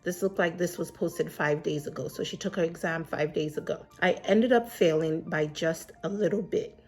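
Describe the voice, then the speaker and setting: A woman's voice: low voice, normal speed, darkish tone, faint music behind. Congested woman giving earnest exam advice. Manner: earnest, encouraging, checks her notes as she talks. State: slightly congested from a cold. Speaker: exam prep vlogger and mentor. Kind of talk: casual advice vlog to camera. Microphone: camera facing her, laptop beside.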